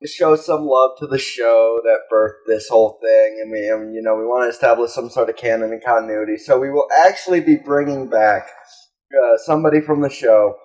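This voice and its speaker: A man's voice: mid-range voice, a little fast, darkish tone, poor audio. A man co-hosting a casual comedy podcast, joking crudely and irreverently in loose conversation. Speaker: podcast co-host.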